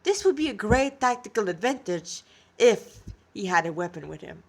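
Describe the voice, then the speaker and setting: A woman's voice: medium pitch, very animated, normal speed, darkish tone. A woman with a congested nose reading a fantasy story aloud as an amateur narrator.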